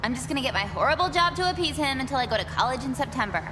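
A woman's voice: high voice, big pitch swings, rapid-fire, noisy background. A young woman voicing a jaded, sarcastic eighteen-year-old American girl in a visual novel game. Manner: snarky, blunt. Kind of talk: voiced visual novel acting.

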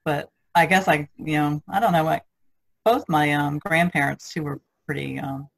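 A middle-aged woman with a husky, gravelly voice chatting casually and telling personal anecdotes, quick to laugh. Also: low voice, fairly even intonation. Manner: laughs readily. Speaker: artist on a podcast panel. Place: online video conference call.

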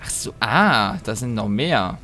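A man giving casual let's play commentary, thinking aloud in a rambling, halting way and breaking off mid-thought. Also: lowish voice, expressive, bright, forceful tone.

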